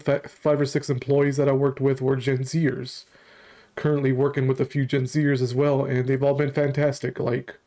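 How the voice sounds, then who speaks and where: A man's voice: medium pitch, warm tone. A man hosting his own channel, giving casual, rambling commentary to camera with frequent ums and restarts, still carrying a slight cough and some congestion.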